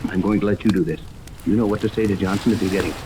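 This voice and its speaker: An actor playing an earnest, authoritative ship captain in a 1930s radio adventure, explaining things with urgency. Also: male voice, sits low, fairly even intonation, rapid-fire, natural voice. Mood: earnest, resolute. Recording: noisy old 1930s radio, rain-like hiss.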